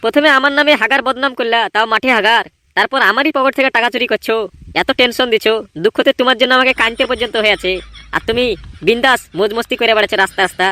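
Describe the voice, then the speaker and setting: A rather high, forceful young gang leader from a comedy cartoon, acted by a woman. He talks quickly, sounding put-upon and scolding, full of self-pity. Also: no echo. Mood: aggrieved. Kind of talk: cartoon voice acting.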